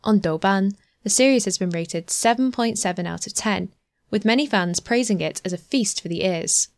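Woman, news reader, scripted feature narration, even measured delivery, mid-pitched, dry close studio sound. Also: no echo.

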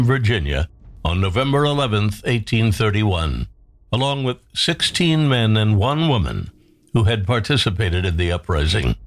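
A man narrating a history documentary in a scripted, explanatory style. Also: fairly low pitch.

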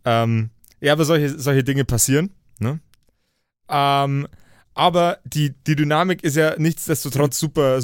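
A man chatting casually as a podcast game master, explaining haltingly and often repeating words. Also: mid-range voice, clean tone, dry recording, clean studio sound.

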